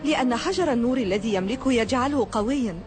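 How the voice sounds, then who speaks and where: A woman's voice: a little high, quick pace, over music, close to an everyday voice. A woman playing a kind young heroine in a dubbed superhero cartoon, earnest and explanatory. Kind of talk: dubbed cartoon acting in formal Arabic.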